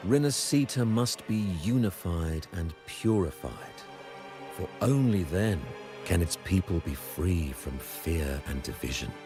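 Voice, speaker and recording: A man's voice: deep voice, lively intonation, measured pace, over music. A man playing a solemn, zealous religious prophet in a video game, speaking formally and grandly as if preaching. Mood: solemn, reverent.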